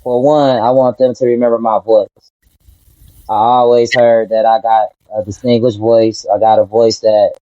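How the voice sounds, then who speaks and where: A man's voice: mid-range voice, dark tone, dry recording, lo-fi sound. A man from Detroit, a rapper, answering interview questions in a laid-back, earnest way. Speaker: rapper guest.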